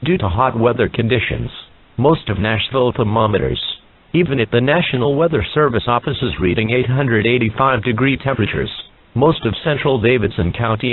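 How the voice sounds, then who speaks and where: A man reading a scripted emergency broadcast announcement in a formal, measured manner, as an alert announcer. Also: mid-range voice, breathy edge. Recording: muffled narrowband radio-like sound.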